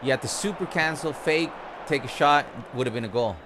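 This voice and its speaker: A man's voice: medium pitch, brisk, fairly bright tone, a little hiss or hum. A young man from Costa Rica, a gaming streamer giving casual live commentary and tips while he plays. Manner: casual and chatty, coaching the viewers. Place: home-style room, not his own home.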